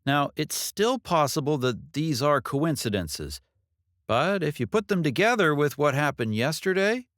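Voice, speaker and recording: A man voicing a concerned female police detective in an audiobook, with a measured, official, earnest manner. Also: on the high side, big pitch swings, fairly bright tone, dry recording, studio quality, pitched above the performer's own voice. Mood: urgent concern.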